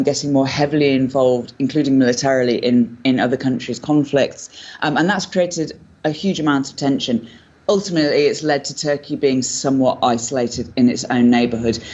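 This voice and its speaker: A woman correspondent reporting by remote link from Istanbul, explaining at length in a measured way with some fillers. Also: rumbling low, a little mellow, dull audio. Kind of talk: live news report. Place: home in Istanbul.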